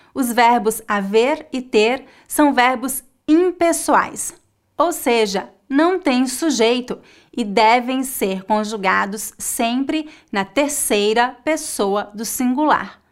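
A woman with a Brazilian accent teaching a Portuguese lesson in a slow, clear, scripted way. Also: a little high, animated. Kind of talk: scripted online language lesson.

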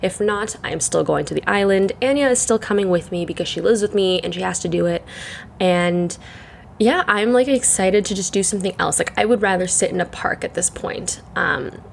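A young woman hosting her solo podcast, chatting in a rambling way full of fillers, her voice croaky and congested from the morning. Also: mid-range voice, moderate pace, slight background noise. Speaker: podcast host. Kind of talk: casual solo chat.

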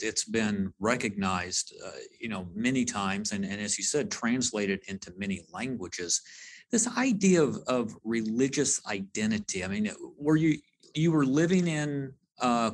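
A man's voice: on the low side, animated, normal speed. A man interviewing a guest, asking casual, loosely phrased questions that loop back on themselves.

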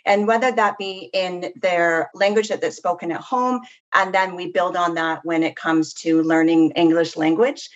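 A woman giving measured, earnest, professional explanations as an interview guest. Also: lowish voice, lo-fi sound. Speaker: podcast guest, literacy program professional.

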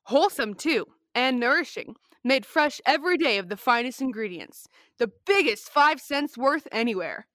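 A woman's voice: high voice, lively intonation, normal speed, hardly any pauses, fairly bright tone, no room sound, studio quality. A woman playing an enthusiastic old-time radio announcer, narrating in an inviting, theatrical style. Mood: promotional.

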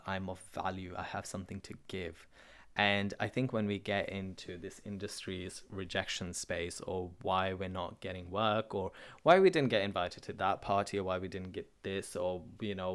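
A man's voice: on the low side, fairly bright tone. A man whose first language is Urdu, hosting a podcast and talking candidly in a rambling, emotional personal monologue. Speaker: podcast host. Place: London.

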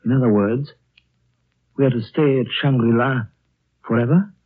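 A youngish male traveller voiced by an actor with a husky, mid-pitched voice, thoughtful and probing in vintage radio drama style. The sound is thin and phone-like, from an old broadcast. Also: natural voice. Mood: wary questioning. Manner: measured, thoughtful, questioning. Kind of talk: 1930s radio drama acting. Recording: thin, narrow-band 1930s radio recording.

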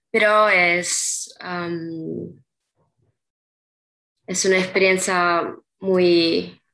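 A young woman from Peru talking slowly as an interview guest, often pausing and starting her sentences over. Her voice sits a little low and has a gravelly fry to it. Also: a bit bright, rough recording. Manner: hesitant, many ums and restarts. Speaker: interview guest, artist's model. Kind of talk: casual interview chat.

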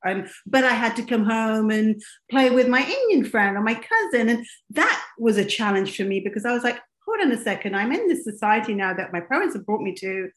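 A woman's voice: fairly high pitch, very animated, a little fast. A middle-aged woman, a life coach telling her own story as an interview guest in an earnest, open way. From raised in England. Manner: earnest and open, speaks frankly. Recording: echoey room.

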